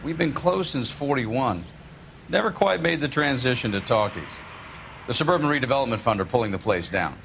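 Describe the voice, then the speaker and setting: A man's voice: mid-range voice, lively intonation, brisk, vocal fry, a bit bright, a little hiss or hum. An actor playing a cagey male watchman in a 1940s detective game, with an American accent and a laconic, slangy way of talking. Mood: cagey, indifferent. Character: cagey, grubby-dealing male watchman of a shuttered film studio. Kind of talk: video game character acting.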